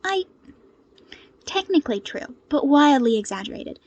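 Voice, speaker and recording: A woman voicing a cheerful, modest young hero strategist in an audio story, with an earnest, gently exasperated manner. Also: high-pitched, sing-song, moderate pace, dull audio, pitched above the performer's own voice. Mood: amused, exasperated.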